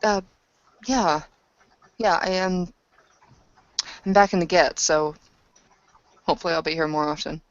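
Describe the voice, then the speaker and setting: A woman with a slightly low voice chats in character during a roleplaying game. She is blunt and casual and swears freely. The sound is dull and lo-fi. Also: measured pace, long pauses, no echo.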